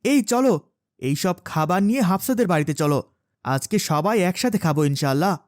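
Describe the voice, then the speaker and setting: A woman's voice: fairly low pitch, very animated, fairly quick, a little mellow, no echo, clean studio sound. A woman voicing a caring, pious father with an emphatic manner in a children's moral cartoon. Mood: concerned.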